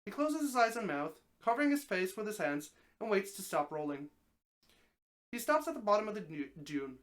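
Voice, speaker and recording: A mid-range voice reads a story aloud in a live, dramatic style. The narration is expressive, and the reader now and then trips over a phrase and takes it again. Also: medium pitch, a little fast. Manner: expressive narration, occasional stumbles and repeated phrases. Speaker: streamer reading a story aloud.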